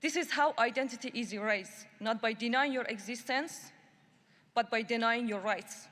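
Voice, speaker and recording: A woman from Iran giving a prepared speech at a human rights summit. She speaks in a deliberate, measured and emphatic way. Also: on the high side, fairly bright tone. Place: large conference hall. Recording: long hall reverb.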